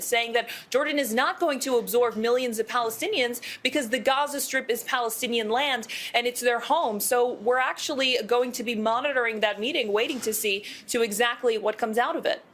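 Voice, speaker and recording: Measured woman news correspondent. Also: a little high, fairly bright tone. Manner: measured, formal reporting. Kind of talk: broadcast news report.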